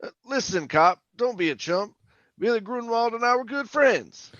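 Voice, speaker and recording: A man's voice: very high voice, animated, moderate pace, fairly bright tone, no room sound, dull audio. A man playing a jittery, haunted oil rig worker telling his suspense story in colloquial, stammering old-time radio style. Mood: defensive, nervous. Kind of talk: old-time radio drama narration, first-person suspense.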